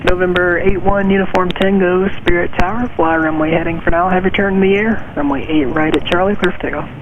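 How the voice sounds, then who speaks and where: A man's voice: sits high, fairly even intonation, brisk. A man working as an air traffic tower controller, giving clipped procedural instructions over aviation radio. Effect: aviation radio transmission. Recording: narrow, tinny, noisy aviation radio.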